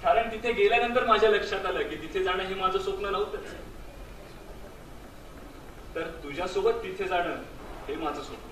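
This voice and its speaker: A man's voice: high voice, moderate pace, long pauses, fairly bright tone. An Indian man acting in a stage drama as a sentimental married man still devoted to a former love, speaking earnestly and wistfully. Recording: echoey stage, distant mic, background noise.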